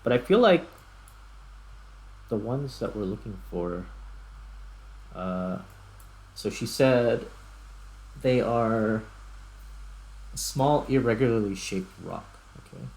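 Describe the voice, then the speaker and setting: A man's voice: fairly low pitch, lively intonation, slow, long pauses, darkish tone, poor audio. A man giving casual video game commentary as host, thinking aloud in short fragments. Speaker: gaming YouTuber, host. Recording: clear background noise.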